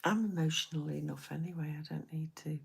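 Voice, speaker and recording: A woman hosting a late-night live stream, talking to her viewers in a soft, half-whispered voice. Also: sits low, steady tone, a little fast, creaky, slightly rough.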